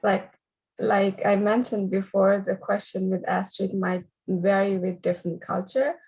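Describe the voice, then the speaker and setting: Husky, even-toned woman with Nepali accent. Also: mid-range voice, unhurried, rough recording. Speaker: early childhood program facilitator. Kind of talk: reading questions and explaining.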